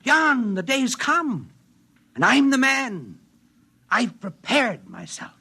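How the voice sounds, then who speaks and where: A man's voice: extremely high pitch, huge pitch swings, slow pace, gravelly, bright, forceful tone, slightly rough, full character voice. A man acting an idealistic, self-important schemer in a 1950s radio drama, declaiming fervently and insistently. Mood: zealous, grandiose.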